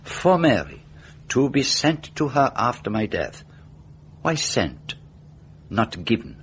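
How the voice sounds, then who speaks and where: An actor playing a meticulous, self-assured detective in a radio mystery drama, setting out a case deliberately with rhetorical questions. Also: male voice, medium pitch, sing-song, slight background noise. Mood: explanatory, intent.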